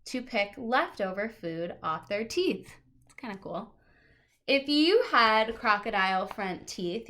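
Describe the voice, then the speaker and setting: A woman's voice: fairly high pitch, fairly bright tone. A woman teacher reading a children's nonfiction picture book aloud, stressing key words expressively and adding casual asides.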